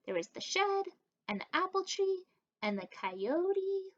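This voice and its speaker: A young woman doing an expressive picture book read-aloud, addressing young children as a story time reader. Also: very high voice, unhurried, dull audio, a little hiss or hum. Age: young adult. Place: living room indoors.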